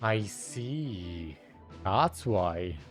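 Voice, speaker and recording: A man's voice: fairly low pitch, lively intonation, extremely slow. A man streaming a game, giving short, casual, murmured reactions as he follows the story. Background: game music.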